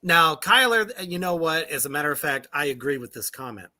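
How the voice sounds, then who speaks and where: Guy hosting a band livestream, kinda high voice, swears a lot, laughs all the time. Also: expressive, brisk, sharp tone. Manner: loose, profane, laughs often. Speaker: podcast host. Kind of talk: casual livestream chat.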